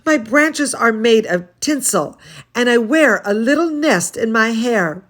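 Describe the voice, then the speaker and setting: A woman reading a bedtime storybook aloud to children, expressive and cooing, speaking as if to very young listeners. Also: a little high, unhurried. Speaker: children's storyteller, dance studio teacher.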